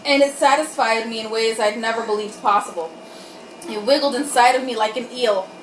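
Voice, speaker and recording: High-voiced tipsy woman reading aloud, roomy sound. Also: a little fast. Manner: irreverent, giggly, tipsy, breaks off to comment. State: tipsy from wine. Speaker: reader and host of a comic group reading. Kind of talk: dramatic reading of erotic fiction with joking asides. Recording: roomy, distant mic, faint background noise.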